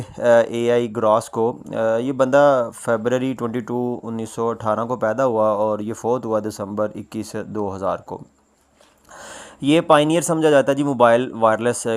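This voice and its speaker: A man presenting informal, explanatory news commentary, often pausing mid-sentence and leaning on repeated filler phrases. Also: mid-range voice. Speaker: presenter of a world news roundup.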